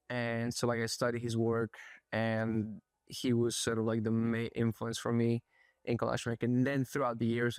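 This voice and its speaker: A young man from Spain, an artist being interviewed, speaking in a soft, thoughtful way with many pauses and fillers. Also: medium pitch, smooth, no echo, studio quality. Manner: soft-spoken, thoughtful, hesitant, frequent fillers. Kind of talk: casual interview. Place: art gallery.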